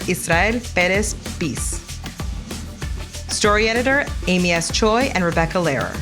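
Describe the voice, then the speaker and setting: A woman announcer reading a podcast's production credits in a clear, measured way. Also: on the low side. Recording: music bed under voice.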